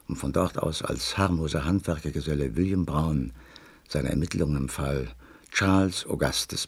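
Husky, expressive loyal companion. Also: male voice, extremely low pitch, exaggerated voice. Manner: earnest, expressive. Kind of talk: German radio drama acting and narration.

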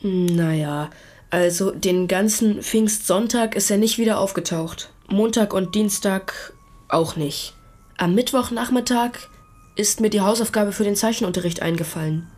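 A woman's voice: mid-range voice, darkish tone, lightly acted. Hesitant, earnest schoolboy played by a woman. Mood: hesitant recounting. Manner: hesitant, halting, defensive. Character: schoolboy Tobias, hesitant, earnest, secretive witness. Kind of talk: naturalistic German radio drama dialogue.

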